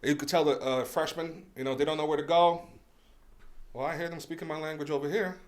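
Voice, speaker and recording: A man from New York on a podcast, telling stories in a casual, halting way, with many restarts. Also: fairly high pitch, fairly even intonation. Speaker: podcast co-host.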